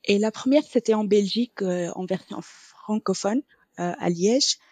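A young woman speaking French as a non-native, talking as a podcast guest and pausing often on filler sounds. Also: mid-range voice, a little mellow, no room sound. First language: neither French nor English, trilingual. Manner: hesitant, many filler sounds. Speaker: podcast guest, quality coach. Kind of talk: casual interview talk.

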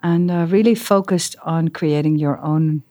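A middle-aged Dutch woman with a Dutch accent, speaking English as a second language as a lively podcast guest in an office, sometimes searching for words. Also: sits low, unhurried. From Netherlands. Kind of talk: casual interview conversation.

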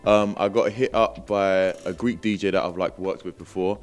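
A man's voice: low voice, fairly even intonation, normal speed, soft background music. A man with a London accent telling stories casually as an interview guest, in slangy, laid-back phrasing. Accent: London English. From Britain. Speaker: interview guest, rapper and entrepreneur. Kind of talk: casual conversational storytelling.

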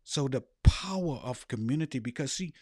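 A man's voice: medium pitch, expressive, normal speed, mellow, slightly rough, no room sound, studio quality. A middle-aged man with a Bahamian accent giving an earnest, emphatic motivational talk as a podcast host and life coach, repeating key phrases.

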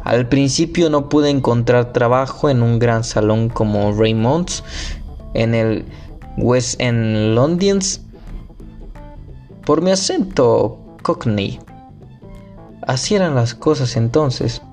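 A man slowly reading a nonfiction book aloud, careful and deliberate, now and then stumbling over a word and starting it again. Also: mid-range voice, a little mellow, faint music behind. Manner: slow, careful reading with occasional slips and restarts. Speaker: amateur reader.

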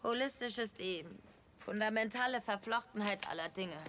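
A woman's voice: mid-range voice, normal speed, fairly bright tone, slight background noise, close to an everyday voice. An actress playing an erratic, cheerfully menacing female assassin in dubbed series dialogue, blunt and bossy in manner. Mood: matter-of-fact. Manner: blunt, casually threatening, bossy.

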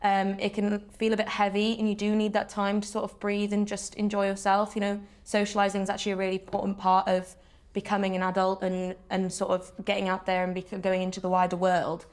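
Flat-voiced young woman. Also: medium pitch, brisk. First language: Welsh. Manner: even, steady, with many "sort of" fillers. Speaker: students' union officer, guest. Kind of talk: casual interview answers.

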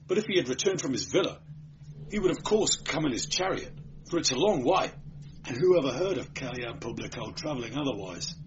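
Man voicing anxious high-pitched night watchman, noisy recording. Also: close to an everyday voice. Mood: earnest, insistent. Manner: earnest, explaining at length. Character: anxious, dutiful male night watchman, eager to explain. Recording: dull, noisy amateur recording.

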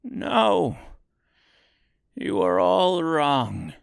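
A man's voice: a little high, expressive, drawn-out words, vocal fry, dry recording, studio quality, voice pushed up, full character voice. A man voicing a timid little old humbug wizard in a storybook, speaking meekly with a tremble. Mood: meek, confiding. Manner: trembling, meek.

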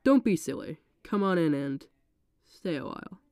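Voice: medium pitch, lively intonation, normal speed, darkish tone, clean tone, no echo, studio quality, close to an everyday voice. A congested narrator voicing an infected woman, sweetly menacing and coaxing. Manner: coaxing, sing-song.

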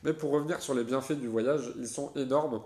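A man's voice: medium pitch, a little fast, darkish tone. A young man from France talking through his solo podcast, loose and chatty, full of hesitations and restarts. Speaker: podcast host.

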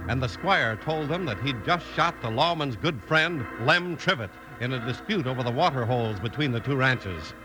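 A man with an American accent announcing in 1950s radio style, hearty and pitched at young listeners. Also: lively intonation, normal speed, hardly any pauses, over music, low-quality recording.

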